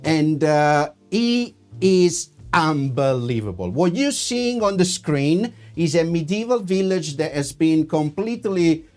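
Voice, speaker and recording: A man with a clear Italian accent talks slowly and unhurriedly as he hosts a streamed wine tasting, peppering his speech with "uh" and "you know." Faint music plays softly behind him. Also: on the high side, big pitch swings. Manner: chatty, many "uh" fillers. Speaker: wine importer hosting a tasting. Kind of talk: live-streamed presentation.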